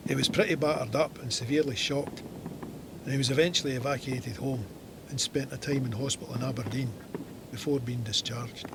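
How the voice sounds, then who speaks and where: An actor playing a stoic Scottish First World War soldier, narrating his memoir in a measured, matter-of-fact way. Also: medium pitch, fairly even intonation, noisy background, close to an everyday voice. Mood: sombre, matter-of-fact. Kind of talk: scripted memoir narration in an audio drama.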